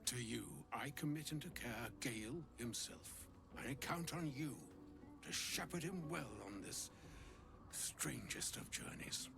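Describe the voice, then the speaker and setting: An actor playing a solemn, kindly old wizard in a video game, with theatrical, archaic phrasing. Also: male voice, medium pitch, a little slow, gravelly. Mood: solemn, regretful. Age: older. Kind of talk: video game dramatic acting. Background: music.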